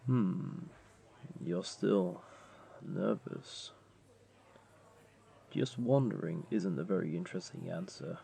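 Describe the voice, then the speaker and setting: A man playing a flirty, comforting jaguar beastling in an intimate audio roleplay, gently coaxing the listener along. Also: low-pitched, slow pace, gravelly, long pauses, full character voice. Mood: curious, coaxing. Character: flirty, comforting male jaguar beastling, patient restaurant owner.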